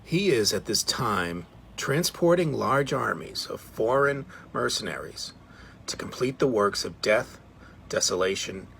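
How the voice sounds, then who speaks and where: A man with a lowish voice reads a historical document aloud. There is slight ambient noise in the recording. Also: expressive, measured pace, vocal fry, fairly bright tone. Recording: faint background noise.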